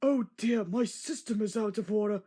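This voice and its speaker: A woman's voice: mid-range voice, moderate pace, mellow, slightly rough, natural voice. Woman doing a whiny, moaning steam engine. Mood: self-pitying. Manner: whiny, plaintive. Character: self-pitying, moaning, sickly male steam engine.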